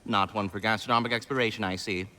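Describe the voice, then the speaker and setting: An actor playing a fussy, chatty giant squirrel in a video game, with prim, theatrical, flowery speech. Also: male voice, lowish voice, steady tone, fast, pushed and bright. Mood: polite, breezy. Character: fussy, refined, chatty giant squirrel tending a world tree. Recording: music under the voice.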